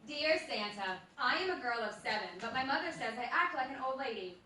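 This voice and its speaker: A woman plays a prim little girl of seven with an old lady's ways. The voice is high and bright, heard from far off in a very echoey theatre. It is live stage radio comedy. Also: animated, a little fast, slight background noise. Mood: prim, earnest. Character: prim seven-year-old girl who acts like an old lady.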